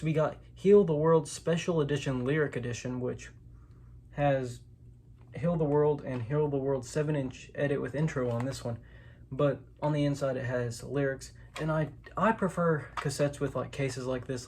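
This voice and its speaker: A man's voice: mid-range voice, darkish tone. A man with a mid-range voice talks through a collection in a casual, unscripted vlog style. He is informal, often hedging or correcting himself. The recording has noticeable noise, with clicks and clatter behind the voice. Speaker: host, collector showing their collection.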